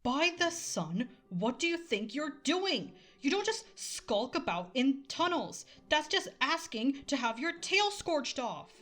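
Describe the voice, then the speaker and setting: A woman's voice: high-pitched, sing-song, unhurried, few pauses, a bit bright, heavily acted. A woman playing a fierce, awkward young female dragon in an audio drama, brash and defensive. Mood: indignant.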